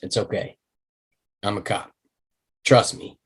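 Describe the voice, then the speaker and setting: A man reading the part of a weary mid-thirties male cop in a video-call table read, with a low, quiet, dry delivery. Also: fairly even intonation, slow, breathy edge, full character voice. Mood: reassuring.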